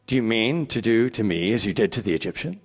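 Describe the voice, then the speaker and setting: A man's voice: on the low side, expressive, fast talker, long reverb tail. A man voicing a defiant, accusing man in a dramatic scripture reading, challenging and scornful.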